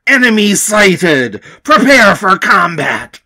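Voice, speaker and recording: Man doing a forceful, bombastic superhero penguin. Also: sits high, very animated, slow, exaggerated voice. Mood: battle-ready. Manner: declamatory, forceful.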